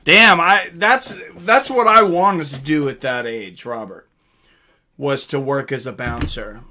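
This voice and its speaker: A man hosts a casual live fight companion stream in a forceful, bright voice that sits a little high. He takes his time, speaking slowly and informally. He addresses his viewers directly and often clears his throat. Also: a little hiss or hum. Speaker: host of a live fight watch-along stream. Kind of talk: casual live commentary, reading out and answering chat.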